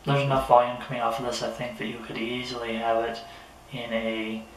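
Even-toned man reviewing gear, roomy sound. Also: medium pitch, fairly quick. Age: middle-aged. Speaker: product reviewer. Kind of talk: casual unboxing and gear review, talking to camera. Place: small indoor room at a table. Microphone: camera a few metres away. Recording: roomy, distant camera mic with noticeable noise.

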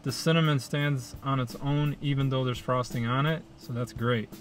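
A man's voice: medium pitch, steady tone, normal speed, faint music behind. Easygoing young man in a car. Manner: dry, joking, easygoing. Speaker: food vlogger co-host. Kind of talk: casual food review chat. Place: parked car. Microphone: camera on dashboard.

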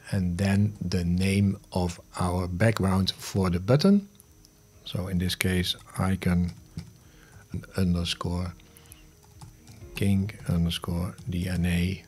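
A man in his fifties narrating a step-by-step software tutorial in short instructional phrases, recorded on a close studio mic. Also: low voice, breathy edge. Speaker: host of smart home tutorial videos. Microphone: close studio mic on a boom arm.

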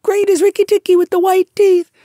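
A woman voicing a feather-brained little male tailorbird who sings and gushes praise in a shrill, sing-song voice. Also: little pitch movement, fairly quick, warm tone, no echo, studio quality. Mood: exultant. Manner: exultant, gushing praise. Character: giddy little male tailorbird, boastful singer.

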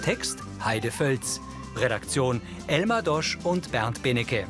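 A man's voice: mid-range voice, expressive, slow, music in the background. A man reading scripted audio description of film scenes in a neutral, matter-of-fact way.

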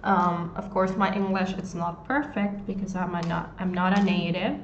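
A woman's voice: lowish voice, steady tone. A woman in her twenties from Argentina, a native Spanish speaker with an Argentine accent, answering a question on a live lesson and searching for words in English. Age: 20s. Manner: unhurried, searching for words with many ums and restarts. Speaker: Spanish tutor. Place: small room indoors. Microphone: phone at arm's length. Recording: echoey, dull phone audio with faint noise.

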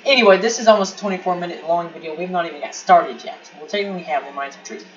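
A man giving casual gaming commentary, excitable and rambling, often repeating words and restarting his sentences. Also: high voice, very fast, muffled recording. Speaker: gameplay commentator. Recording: distant mic with noisy background.

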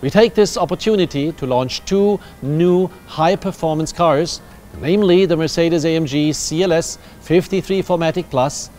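A man in his fifties, a company executive, delivering a measured, formal prepared statement. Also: a little high, darkish tone. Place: studio set with backdrop.

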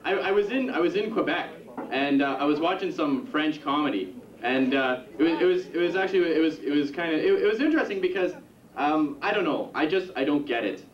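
A young man doing a stand-up comedy set in a club, with a rambling, halting delivery full of restarts. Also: on the high side, expressive, recorded from a distance, crowd noise. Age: young adult. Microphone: handheld stage mic on a stand. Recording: roomy, dull 1991 camcorder tape from the audience. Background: audience laughter.

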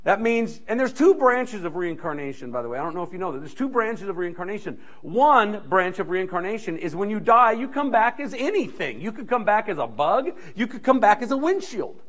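High-voiced, animated male pastor preaching. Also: fairly quick, hardly any pauses. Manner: animated, strolling the stage and gesturing; emphatic, repeating key phrases. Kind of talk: sermon. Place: church stage, indoors. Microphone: handheld mic.

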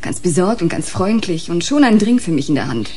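A woman's voice: fairly low pitch, very animated, fairly quick, darkish tone, slightly rough. An actress playing an earnest, eager young woman in a German radio crime drama. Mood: anxious, pleading.

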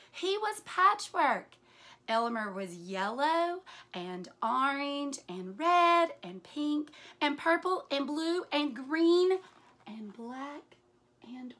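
A woman in her forties reads a picture book aloud as a teacher would, in an animated, deliberate way with hushed asides. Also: high-pitched. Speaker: teacher reading aloud to children. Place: small furnished room indoors.

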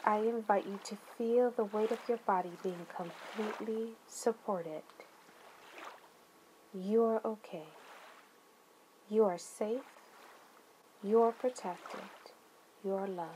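A woman leading a guided meditation, speaking gently in deliberate phrases with long pauses and soothing affirmations. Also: mid-range voice, a little hiss or hum, rough recording.

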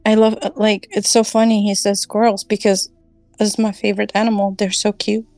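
A woman's voice: mid-range voice, little pitch movement, brisk, a little mellow, no echo, soft background music. Chatty woman hosting a call. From Germany. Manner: chatty, giggly, many fillers. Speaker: online class host. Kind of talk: casual group call chat.

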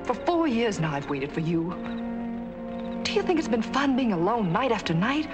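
An actress playing a restless, ambitious young nurse in a 1940s film, pleading and emotional. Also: female voice, fairly high pitch, sing-song, normal speed. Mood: defiant. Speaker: nurse torn between love and money. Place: outdoor park bench at night on a film set. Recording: old film soundtrack with music.

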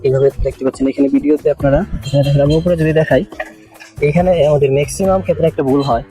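A man explains things informally like an engineer showing a site. He talks quickly in a mellow voice, circling back over his phrases with halting fillers. Music plays behind him. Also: a little high, steady tone. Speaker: engineer presenting a construction video. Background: background music.

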